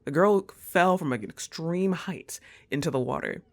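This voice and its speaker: A woman acting as a young goth roommate in an intimate ASMR roleplay, laid-back and affectionately teasing. She talks slowly, with a lot of creaky fry in her voice, in a casual conversational way. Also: low voice. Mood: animated, incredulous.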